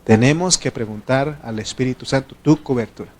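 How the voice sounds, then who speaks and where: A preacher from Mexico, whose first language is not Spanish, addresses his congregation in a lively sermon. He swings from raised, urgent exhortation down to soft, low asides, at an ordinary middle pitch for a man. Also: fairly quick. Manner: animated, swinging between loud exhortation and quiet asides.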